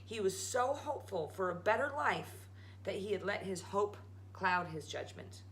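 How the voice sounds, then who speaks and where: A woman's voice: mid-range voice, animated, moderate pace, a bit bright, a little hiss or hum, rough recording. A woman reading a children's story aloud as a storytime host. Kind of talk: animated children's read-aloud.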